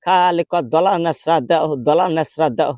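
A man's voice: a little high, steady tone, moderate pace, darkish tone, no room sound, studio quality, natural voice. A man voicing a poor, complaining, argumentative old village grandmother in a rural Bangladeshi dialect for a comic prank call.

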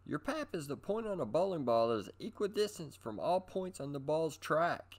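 Animated, higher-pitched male presenter. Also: moderate pace. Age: middle-aged. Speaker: host of a bowling instruction video. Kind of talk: scripted instructional narration.

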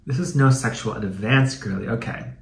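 A man's voice: mid-range voice, moderate pace, vocal fry, reverberant, a little hiss or hum. A man doing a casual, comedic dramatic reading with commentary, in a sassy, campy, slangy way with some swearing. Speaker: YouTube host.

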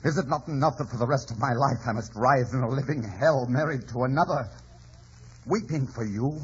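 An actor playing a bitter, passionate, tormented lover, intense and theatrical, in radio drama style. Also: male voice, mid-range voice, fairly quick, slightly rough. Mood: anguished. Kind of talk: 1950s radio drama acting. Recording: dull old radio broadcast, music behind.

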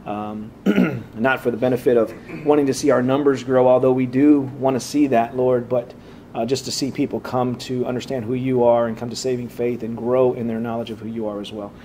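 Man, mid-pitch, slightly raspy church teacher; halting, filler-heavy delivery; low hum under the recording. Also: steady tone, mellow. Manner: halting, many "uh" fillers and restarts. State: slightly raspy from preaching, clearing throat. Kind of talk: opening prayer and Bible class teaching.

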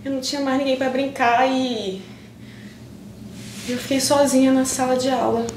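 A young actress with a Brazilian accent playing an emotional woman pleading with a long-lost friend in improvised theatre, speaking haltingly with pauses. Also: high voice, level tone, darkish tone, lightly acted. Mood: nostalgic, animated. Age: young adult. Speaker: stage actress. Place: indoor theatre stage. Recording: roomy, distant, noisy stage recording.

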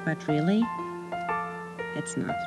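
An actress with a German accent playing a lonely young immigrant woman, narrating quietly and reflectively. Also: sits low, expressive, slow, loud music behind the voice, full character voice. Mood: wistful. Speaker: lead character and narrator. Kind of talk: film drama with voice-over narration.

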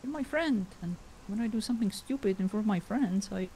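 A woman acts out an awkward storyteller in an online fantasy roleplay. The voice sits at a middle pitch and speaks hesitantly, with a self-deprecating, easily embarrassed manner. Faint rain patters behind it. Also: expressive, brisk, dark tone, slight background noise, lightly acted, low-quality recording. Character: Gio, awkward, self-doubting, embarrassed young storyteller friend.

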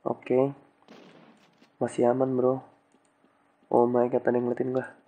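A man's voice: medium pitch, monotone, unhurried, warm tone, low-quality recording. A young man with a stuffy nose from a cold, giving casual, slangy game commentary with English exclamations. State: has a cold. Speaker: gaming channel host.

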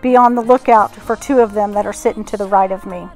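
A woman's voice: mid-range voice, fairly even intonation, fast, over loud music. A middle-aged woman narrating household tasks in a casual vlog voice-over. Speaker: home organizing vlogger.

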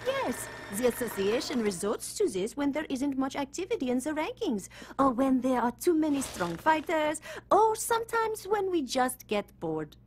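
High-pitched, flippant game agent woman. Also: very animated, moderate pace, few pauses. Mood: casual, evasive. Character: flirty, flippant female agent of an assassins' ranking association. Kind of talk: video game cutscene acting.

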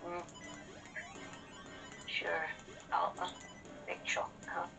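Slow-talking woman bantering over game music. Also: fairly low pitch, completely flat delivery, gravelly. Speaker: co-host of a gaming channel. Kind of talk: casual let's play banter. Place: indoors, in front of a TV. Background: video game music.

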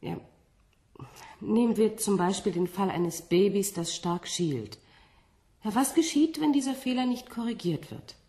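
An actress in a radio play, playing a composed woman scientist who explains things in a measured, slightly condescending way. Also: medium pitch, expressive, warm tone. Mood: patient, superior. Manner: didactic, measured, with small rhetorical tags.

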